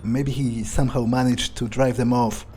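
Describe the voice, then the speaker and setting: A man's voice: mid-range voice, little pitch movement, moderate pace, dark tone, soft background music, natural voice. A man voicing a cocky, cruel, foul-mouthed vampire as in-character commentary on a video game, drawling and sardonic as he muses aloud. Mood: musing, contemptuous. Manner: drawling, sneering, musing.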